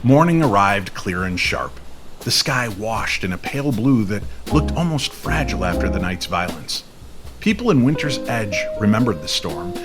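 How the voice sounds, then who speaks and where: A man reading a scripted story in an old-time radio style, measured and unhurried with dramatic pauses. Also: lowish voice, very animated. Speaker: co-narrator of audio story. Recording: faint music under the voice. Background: soft music bed.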